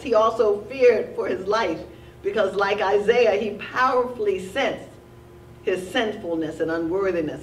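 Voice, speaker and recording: A woman teaching a Sunday school Bible lesson at a church lectern, reading steadily and slowing down to stress key words. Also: mid-range voice, a little hiss or hum. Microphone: lectern mic, filmed from a distant camera. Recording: roomy church sound from a distance.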